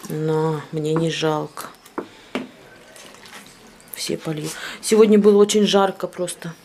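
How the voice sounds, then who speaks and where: A woman in her forties, a little hoarse, chatting casually to her phone camera at home on her balcony. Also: low-pitched, takes it slowly, warm tone, a little hiss or hum. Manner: chatty, cooing to her pet. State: slightly hoarse. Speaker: vlogger. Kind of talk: casual vlog chat to camera. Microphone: handheld phone camera.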